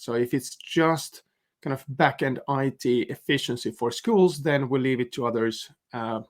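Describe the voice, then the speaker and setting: A man explaining his firm's investment focus in a measured panel talk. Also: medium pitch. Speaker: venture capital investor. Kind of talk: panel remarks.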